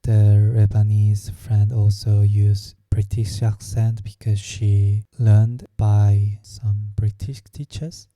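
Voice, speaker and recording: A young man from Korea with a Korean accent, telling his own story slowly and hesitantly, searching for words. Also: lowish voice, flat delivery, mellow, dry recording. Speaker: vlogger. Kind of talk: personal storytelling.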